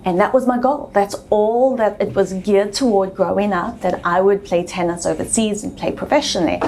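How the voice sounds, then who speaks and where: A woman's voice: medium pitch, moderate pace, noisy background. A woman in her thirties with a South African accent, telling her story as an interview guest in a chatty way with frequent ums.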